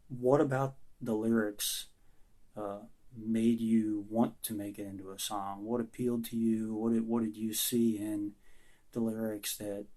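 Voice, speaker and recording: A man who is losing his voice answers interview questions about writing song lyrics, speaking in a halting, thoughtful and sincere way with many pauses. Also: on the low side, dark tone. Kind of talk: recorded self-interview answers.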